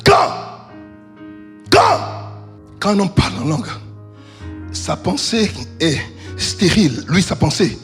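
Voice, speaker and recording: Man, pastor, fiery sermon, sing-song shouting, lively pitch, soft music behind. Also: slow, pauses often. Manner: preaching with sung, shouted crescendos then dropping low; asks the congregation questions and answers them himself. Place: church service.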